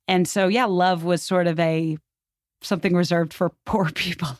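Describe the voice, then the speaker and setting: Lower-pitched woman explaining history, close dry sound. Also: steady tone, moderate pace, darkish tone, no echo. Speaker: podcast guest explaining history.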